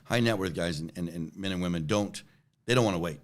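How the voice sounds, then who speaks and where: A man's voice: sits low, fast. A man in his forties answering interview questions conversationally, repeating words and often checking in with a "right?". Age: 40s. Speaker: interview guest, company chief executive.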